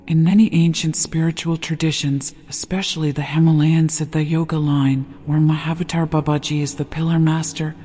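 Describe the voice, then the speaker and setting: A gentle, hushed voice guiding a sleep meditation with slow, deliberate scripted narration. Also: medium pitch, breathy edge. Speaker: meditation guide. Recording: long reverb over background music. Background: meditation music.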